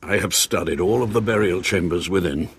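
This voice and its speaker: An actor playing a grave, anxious Sith lord scholar with a formal, theatrical manner and husky voice in a video game. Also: male voice, sits low, fairly quick, dry recording. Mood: grave, ominous. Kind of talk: video game cutscene acting.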